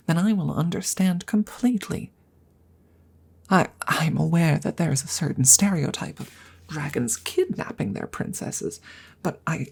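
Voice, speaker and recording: A woman voicing a polite, earnest, slightly awkward female dragon in an intimate ASMR roleplay, speaking softly and formally with hesitant stammers and frequent whispering. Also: lowish voice, exaggerated voice. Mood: businesslike, flustered. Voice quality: breathy, soft, often slipping into whisper.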